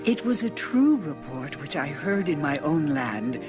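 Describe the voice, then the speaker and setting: A woman's voice: low voice, big pitch swings, music in the background. A stately, awestruck ancient queen. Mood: reverent awe. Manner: slow, stately, measured delivery. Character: admiring, reverent queen of an ancient land. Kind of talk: dramatized audio Bible reading.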